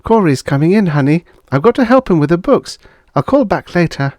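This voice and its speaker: A man voicing a brisk, unsentimental woman chatting to her friend in an audiobook story. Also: fairly high pitch, big pitch swings, darkish tone, dry recording, voice pushed up. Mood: brisk, hurried.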